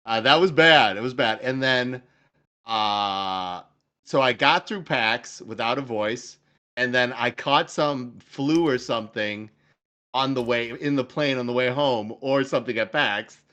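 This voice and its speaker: A man appearing as a guest game designer on a livestream, chatting in an animated, rambling way with many fillers while his voice recovers from a long loss. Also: mid-range voice, a little slow. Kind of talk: casual livestream chat.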